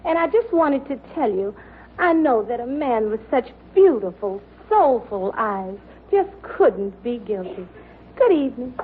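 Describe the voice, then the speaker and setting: An actress playing a sweet, flattering young woman in a vintage radio sitcom. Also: a little high, unhurried. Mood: admiring, coy. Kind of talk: 1950s radio sitcom acting. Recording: tinny old radio broadcast, faint noise.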